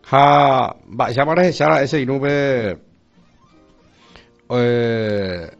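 A man's voice: mid-range voice, drawn-out words, muffled recording, soft background music. A man with a Paraguayan accent presenting a radio weather report, mixing Guarani into his Spanish. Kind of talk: radio news chat.

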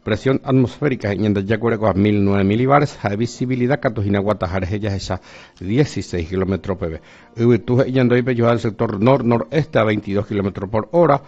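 A man's voice: on the low side, brisk, darkish tone, lo-fi sound. A man with a Paraguayan accent presenting a radio weather report, mixing Guarani into his Spanish. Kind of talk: radio news chat.

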